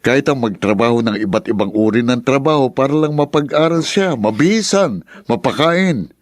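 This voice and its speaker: A male actor plays a caring Filipino uncle in a radio drama. He speaks frankly and earnestly at a middle pitch, like close family. The recording is dry and close. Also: expressive, normal speed, dry recording, lightly acted. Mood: earnest reproach. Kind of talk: Tagalog radio drama acting.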